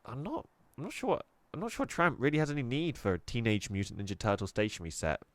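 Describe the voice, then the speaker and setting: A man's voice: medium pitch, lively intonation, fast, dry recording, natural voice. A man voicing a shy, timid young girl who stammers and hesitates.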